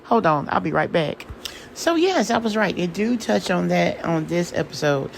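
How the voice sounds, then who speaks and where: A hoarse woman, casually recapping a reality show episode in a chatty, opinionated way. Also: lowish voice, expressive, normal speed, slight background noise. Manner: chatty, opinionated, drawling asides. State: hoarse from singing.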